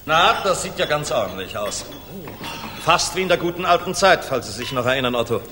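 Sardonic military commander, high-ish animated voice, wisecracking, reverberant hissy old radio play. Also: male voice, fairly quick. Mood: breezy, sardonic. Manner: ironic, wisecracking, barking orders. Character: dashing, sardonic Luftwaffe general, irreverent and commanding. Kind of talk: 1960s German radio drama acting.

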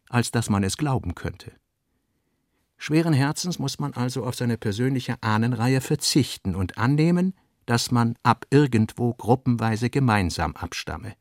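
Creaky-voiced man reading literary prose. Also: mid-range voice, no room sound, clean studio sound. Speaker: radio play narrator. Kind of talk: literary scripted narration.

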